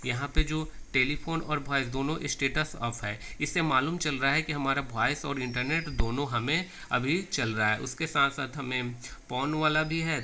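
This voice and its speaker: Sharp, bright-voiced man giving a tech tutorial. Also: medium pitch. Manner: repetitive, restarts phrases as he demonstrates. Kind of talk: hands-on tech tutorial, explaining step by step. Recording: noisy amateur recording with clicks.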